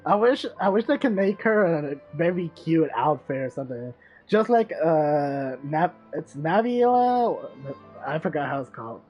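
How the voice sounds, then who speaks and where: A woman streaming a game, giving casual, halting commentary with repeated words. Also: sits low, animated, a little mellow, slightly rough, highs cut off. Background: faint game music.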